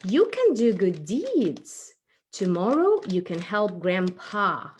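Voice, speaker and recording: A woman voicing a gentle, caring mother puppet in a tender, coaxing way. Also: medium pitch, wildly swooping pitch, slow, a little hiss or hum. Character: gentle, caring mother puppet guiding her young son.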